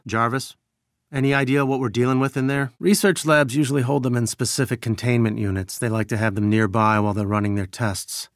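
Actor for male scientist rebel leader in a game: earnest, grave, measured, mid-pitched, cleanly dry recording. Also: dry recording, lightly acted. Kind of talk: video game voice acting.

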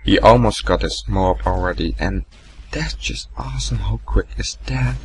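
A young man talks over his gameplay in a very low, gravelly voice, rambling loosely and swearing as he goes. A faint hum of noise sits under the recording. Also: normal speed. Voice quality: deep, gravelly morning voice. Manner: rambling, swearing, laughing at himself. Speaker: gaming commentator. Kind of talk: casual gameplay commentary.

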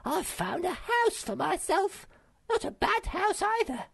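A storybook narrator voicing a brash, jeering young female monkey with an excitable, screechy, bossy way of talking. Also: extremely high pitch, a little slow, voice pushed up, full character voice. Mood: teasing, excited.

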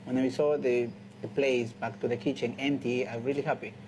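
A man in his thirties from Peru with a Peruvian Spanish accent, a chef speaking halting English in an informal interview. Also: medium pitch, fairly even intonation, poor audio. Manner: halting non-native English with repeated phrases. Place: indoors in a restaurant. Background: music.

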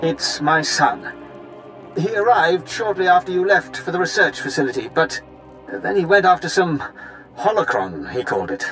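High-pitched, blustering military officer. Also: male voice, big pitch swings, normal speed, a bit bright, faint music behind, poor audio. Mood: apologetic, worried. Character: wry, blustering Imperial army officer and anxious father. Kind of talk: video game character dialogue.

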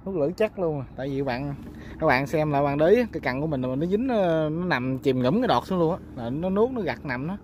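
A man's voice: fairly high pitch, lively intonation, brisk, darkish tone. A man with a Mekong Delta accent chatting casually and colloquially to viewers as a fishing vlogger, often exclaiming. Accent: Southern Vietnamese, Mekong Delta. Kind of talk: casual outdoor vlog chat. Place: outdoors on a small boat on a river. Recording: clear outdoor boat noise.

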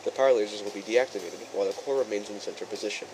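A man playing a courteous chief engineer who presents a training film in formal, measured, instructional narration. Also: on the low side, noisy background, close to an everyday voice. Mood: instructive, formal. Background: music behind the voice.

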